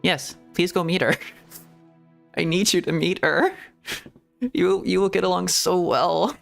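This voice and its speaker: A man's voice: high voice, steady tone, slow pace, gravelly, faint music behind. A man streaming a game, chatting casually in a rambling, giggly way that often trails off and restarts. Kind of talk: casual gameplay commentary.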